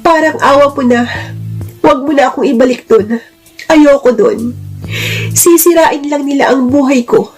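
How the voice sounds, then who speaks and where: A woman's voice: high voice, a little slow, pauses often, darkish tone. A woman voicing a frightened, earnest young woman who pleads, in a story drama. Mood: desperate pleading. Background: music.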